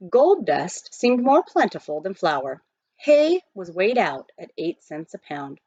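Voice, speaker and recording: A woman reading a children's novel aloud as an expressive storyteller. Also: fairly low pitch, slow, slightly rough, dull audio.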